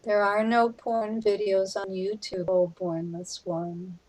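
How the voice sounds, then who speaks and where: A slow, deliberate female voice of average pitch from an actress in a sci-fi audio play. She is a plain-spoken cosmic guide, delivering scripted lines and stage directions. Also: flat delivery, darkish tone, low-quality recording. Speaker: reader of stage directions and cast member.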